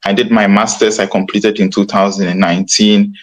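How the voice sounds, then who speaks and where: A man, a guest in a casual interview who talks in a relaxed way and repeats words as he restarts. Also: on the low side, brisk. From Ghana.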